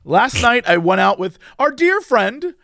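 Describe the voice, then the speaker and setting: Animated high-voiced man chatting on a podcast. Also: unhurried, creaky, no room sound, clean studio sound. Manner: animated, rambling, playful. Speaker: podcast co-host. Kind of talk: casual comedic podcast chat.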